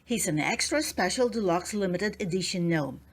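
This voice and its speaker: A woman's voice: fairly low pitch, lively intonation, moderate pace, husky. A woman voicing a happy, eager husband proudly giving a gift in a storybook.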